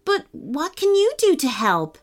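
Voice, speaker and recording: Woman as a high-voiced, anxious storybook boy. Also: very animated, unhurried. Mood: doubtful. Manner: questioning, concerned. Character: young boy, anxious and questioning big brother.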